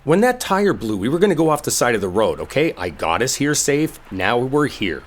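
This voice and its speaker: A man's voice: medium pitch, very animated, a little fast. A man acting a brash, exasperated young coworker in an intimate roleplay audio, speaking casually with sarcasm and swearing. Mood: exasperated, arguing.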